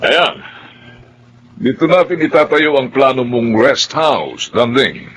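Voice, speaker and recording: A man's voice: mid-range voice, big pitch swings, slow pace, music in the background. An actor with a Filipino accent playing a kindly, grateful older father in a radio drama. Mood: cheerful, obliging.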